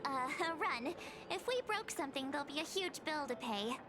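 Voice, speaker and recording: A woman's voice: high-pitched, expressive, moderate pace. A woman voicing a perky, earnest young adventurer girl in an anime game. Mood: upbeat. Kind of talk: scripted anime game dialogue. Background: music.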